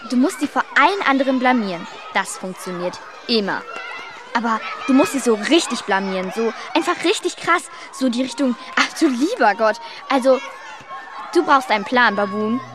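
Woman as a brash, high-voiced scheming girl. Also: moderate pace, lightly acted. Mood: scheming, excited. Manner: brash, slangy, excitable. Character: cheeky, bossy, scheming young girl. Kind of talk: radio drama acting. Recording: music and crowd noise behind.

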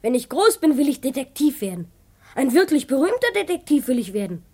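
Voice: high voice, big pitch swings, fairly quick, darkish tone. A performer playing a boastful, earnest twelve-year-old boy who dreams of detective work, self-important and emphatic, in a children's radio play. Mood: boastful, eager.

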